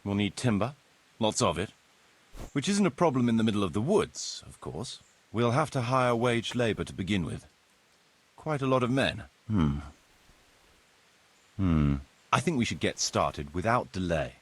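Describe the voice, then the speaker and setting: A husky, fairly low-voiced medieval surveyor in a video game. He is formal, wordy and deferential to his lord, and his pitch moves expressively as he lays out plans. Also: very animated, moderate pace, pauses often. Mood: eager, businesslike. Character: formal, courtly medieval locator Marius, eager planner and surveyor. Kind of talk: video game cutscene acting.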